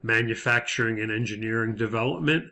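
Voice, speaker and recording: A man giving a lecture as an instructor, explaining methodically in a semi-scripted way with hesitant pauses. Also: lowish voice, bright, forceful tone.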